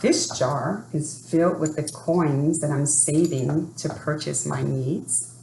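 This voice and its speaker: A low-voiced woman speaks slowly and deliberately, as if guiding young listeners through a storybook session. Her delivery is expressive, and the room around her sounds echoey. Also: creaky. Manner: deliberate, expressive, addresses children with questions. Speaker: educator reading a children's book aloud. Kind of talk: read-aloud storytelling and talk to families. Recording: echoey room with faint background noise.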